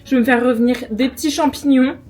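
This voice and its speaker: A woman's voice: high voice, steady tone, fast talker, a little mellow, faint music behind. A young woman from France in her twenties, chatting casually and enthusiastically to camera in a home vlog, with many fillers and restarts. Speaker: vlogger. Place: home kitchen.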